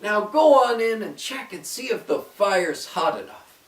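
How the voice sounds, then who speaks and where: A man voicing a wicked old female witch who eats children, in a high, loud, sing-song old-lady voice. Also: big pitch swings, unhurried. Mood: bossy. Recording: echoey room, mic far off.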